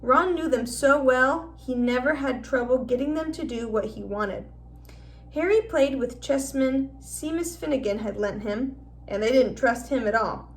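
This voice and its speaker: A woman's voice: high voice, a little slow, distant mic. A woman narrating a children's novel aloud as a teacher would in a storybook read-aloud.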